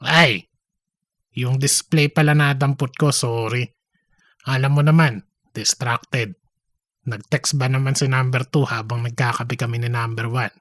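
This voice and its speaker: Woman as a low-voiced, breezy office playboy. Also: fairly even intonation, measured pace, pauses often, no room sound. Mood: sheepish, evasive. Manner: breezy, sweet-talking. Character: smooth-talking, careless young male office womanizer. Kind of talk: comedic audiobook character acting.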